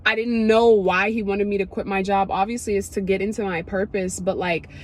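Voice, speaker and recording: A young woman from Ethiopia, talking to camera in a casual, emotional way, full of fillers and quick asides as she gets excited. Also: medium pitch. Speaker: vlogger.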